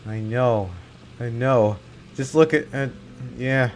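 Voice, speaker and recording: A man giving casual, jokey commentary over a game, easily excited. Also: mid-range voice, slow, a little hiss or hum. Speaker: gaming content creator.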